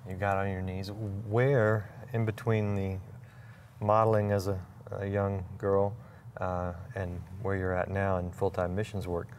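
A man's voice: sits low, flat delivery, measured pace, darkish tone, slight background noise. A man in his forties hosting a studio interview, asking questions in a conversational way on a lapel mic. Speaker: interview host. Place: TV studio, seated at a table.

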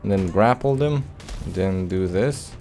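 A man's voice: low-pitched, animated, a little slow, darkish tone, soft background music. A man giving casual, laid-back gameplay commentary in short phrases as he plays. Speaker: let's play host.

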